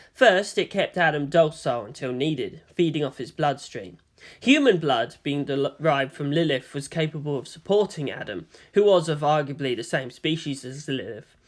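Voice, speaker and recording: Animated male story narrator. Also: on the high side. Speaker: narrator of fan fiction.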